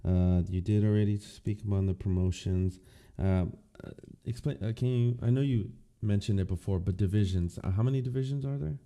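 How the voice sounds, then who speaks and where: A man's voice: fairly low pitch, a little fast, few pauses, dark tone. A man hosting a casual interview, asking questions hesitantly with many fillers. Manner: hesitant, lots of uh and let me see. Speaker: host.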